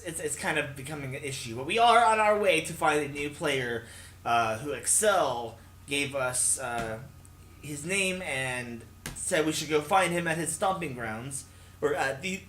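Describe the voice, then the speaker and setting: A man's voice: on the high side, bright, forceful tone. A man hosting a let's play, reading a visual novel aloud with casual commentary. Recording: roomy echo, game music behind.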